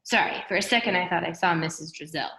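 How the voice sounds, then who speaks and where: A woman's voice: fairly low pitch, quick pace, pushed and bright. A woman voicing a good-natured but jumpy adult man in a storybook, with earnest, dramatic dialogue. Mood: reassuring. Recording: echoey room.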